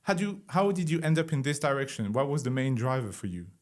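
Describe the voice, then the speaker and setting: A man with a French accent hosting a podcast, reading a playful scripted intro and then interviewing a guest, with lively jumps in pitch. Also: fast, no echo, clean studio sound. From France. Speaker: podcast host.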